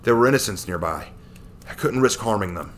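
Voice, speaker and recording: A man's voice: on the low side, lively intonation, fairly quick, a bit bright, a little hiss or hum. A man voicing an intense, self-blaming young male hero in an action story, full of frustration. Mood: defeated, defensive.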